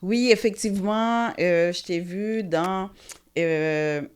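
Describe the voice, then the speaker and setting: A woman's voice: fairly low pitch, slow pace, slight background noise. A woman hosting a casual interview, hesitant with many fillers. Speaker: talk show host.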